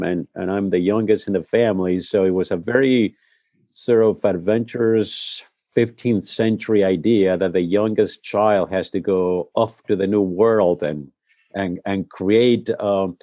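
A middle-aged man from Spain, whose first language is Spanish, speaking in a relaxed interview and telling his story thoughtfully, often restarting his sentences. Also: on the low side, creaky, dry recording. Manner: reflective storytelling with frequent restarts. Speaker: head of school, guest. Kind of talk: conversational interview.

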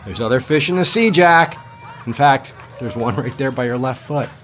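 A man's voice: mid-range voice, expressive, a bit bright, slight background noise, lightly acted. A man voicing a teasing young dockhand who ribs his friend. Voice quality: teasing, quick. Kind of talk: audiobook character voice.

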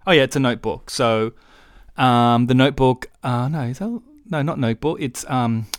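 A man of middle pitch talks loosely as a podcast co-host. He rambles with many "um"s and restarts. His voice is close and dry, with no room sound. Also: moderate pace, no echo. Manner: chatty, rambling, lots of fillers and restarts. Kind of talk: casual chat.

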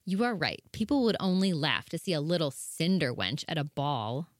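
A man's voice: fairly high pitch, sing-song, moderate pace, no echo, studio quality, voice pushed up. A man voicing a proud, spiteful young stepsister in a storybook reading, speaking sharply and haughtily. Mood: mocking.

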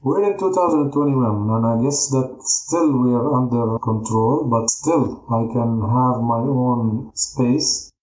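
A man in his thirties from Jaffa with a Palestinian Arabic accent, answering interview questions in deliberate, phrase-by-phrase non-native English. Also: medium pitch, a little mellow. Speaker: history teacher, interview guest. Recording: roomy echo.

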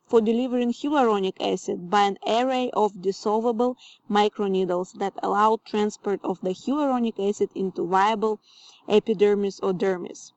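Mellow woman from Ukraine, phone message. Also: medium pitch, dry recording, dull audio. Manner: careful, measured, reads a prepared question. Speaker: listener calling in a question. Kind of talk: recorded voice message.

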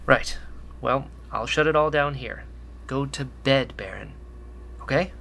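Guy acting as earnest young male rebel, mid-range voice, gentle n thoughtful, bit of background noise. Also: mid-range voice, a little slow, creaky. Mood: caring, firm. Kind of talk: sci-fi radio drama acting.

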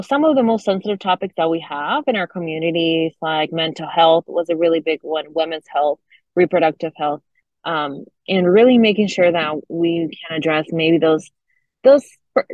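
A woman's voice: on the low side, a little mellow, no room sound. A young woman from Argentina whose first language is Spanish, a medical student answering podcast interview questions earnestly and enthusiastically. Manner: earnest, enthusiastic, with fillers and restarts. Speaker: medical student, program co-founder. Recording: dull dry lo-fi sound.

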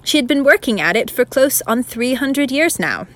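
Analytical radio play narrator, played by a woman: female, learned, formal, lecturing, pitched a little high. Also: big pitch swings, normal speed, a bit bright. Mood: formal, didactic. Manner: formal, didactic.

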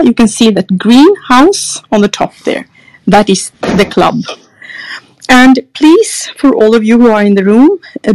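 A woman's voice: medium pitch, no room sound, rough recording. A woman with a Norwegian accent hosting and moderating a live podcast panel, reading the introduction and handing over to speakers. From Norway. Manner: reads an introduction, then hands over to speakers.

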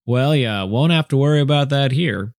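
A man's voice: mid-range voice, normal speed, no echo, studio quality, pitched above the performer's own voice, natural voice. A male narrator voicing a friendly, easygoing young man helping his new neighbor.

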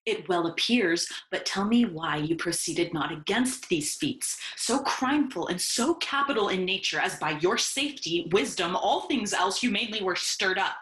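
Casting: actress as fiery bereaved young nobleman, sharp bright voice, roomy echo, classical audio drama. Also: mid-range voice, animated, moderate pace, hardly any pauses, natural voice. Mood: controlled, questioning. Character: hot-tempered, grieving young nobleman seeking justice. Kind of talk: classical stage acting for audio.

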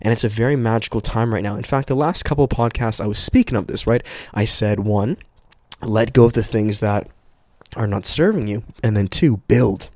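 A man hosting a casual motivational podcast, speaking informally and emphatically, his voice slightly raspy and prone to cracking as he gets over a cold. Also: lowish voice, normal speed, a little mellow, no room sound. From Canada. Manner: informal, emphatic, addresses listeners as guys, with profanity. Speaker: podcast host.

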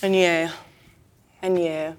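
A woman with a slightly low voice, worn hoarse by a chest infection and on the edge of losing it. She chats casually and hesitantly, often trailing off mid-thought. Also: level tone, slow, a little hiss or hum. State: chesty cough, losing her voice. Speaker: podcast co-host. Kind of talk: casual chat.

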